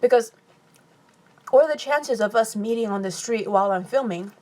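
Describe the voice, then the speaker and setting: A young woman from California chats through a live stream Q&A, reading viewer comments aloud and answering with quick laughs. Her voice sits a little high, and she talks casually into a phone mic between bites. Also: a little mellow. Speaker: vlogger answering live chat questions.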